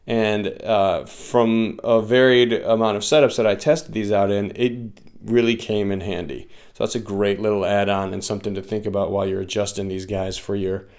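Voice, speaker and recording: A man giving a casual product review in a halting way, often pausing mid-phrase. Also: lowish voice, steady tone, darkish tone. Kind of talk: semi-scripted casual review.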